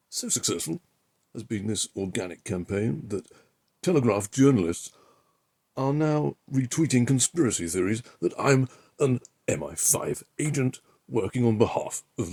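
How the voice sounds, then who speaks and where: Husky, low-voiced man reading pompously. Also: a little mellow. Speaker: amateur reader of a political article. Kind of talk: reading an opinion essay aloud in an impression of a pundit.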